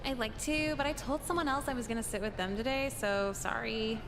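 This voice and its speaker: A sardonic teenage girl, voiced by a woman for a game, new at school and gloomy, with a dry, sarcastic edge to every line. Her voice sits a little high. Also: a little fast, music in the background, natural voice. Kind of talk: voiced visual novel dialogue.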